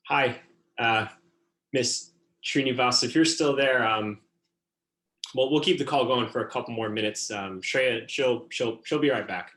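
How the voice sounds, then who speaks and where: A man's voice: mid-range voice, unhurried, a bit bright, lightly acted. Man acting a nervous, stammering young boyfriend. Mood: nervous, awkward. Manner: hesitant, stammering, apologetic. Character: nervous, eager-to-please young American boyfriend. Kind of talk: staged reading over video call.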